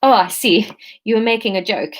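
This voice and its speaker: A woman's voice: mid-range voice, brisk, lightly acted. Woman doing a fussy South African shopper. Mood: cautious, fussy. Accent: put-on South African. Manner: precise, fussy questions. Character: picky, guarded young woman shopper.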